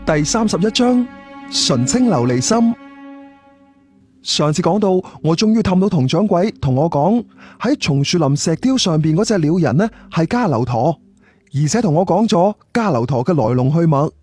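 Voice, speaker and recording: A man's voice: a little high, big pitch swings, normal speed, a little mellow, faint music behind. A man with a Cantonese accent narrating a scripted first-person story in a conversational, colloquial way. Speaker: audiobook storyteller.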